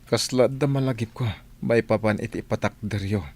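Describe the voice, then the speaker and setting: A polite, confused man, played by an actor, hesitant and deferential. His voice is on the low side, in a serious audio drama. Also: normal speed, slight background noise, lightly acted.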